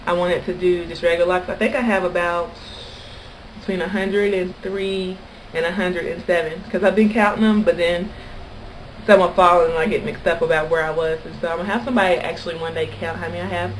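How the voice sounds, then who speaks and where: A woman's voice: lowish voice, fairly even intonation, brisk, a little hiss or hum, low-quality recording. A woman from Kentucky with an American accent, chatting casually and anecdotally to camera as a vlogger. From Kentucky, United States.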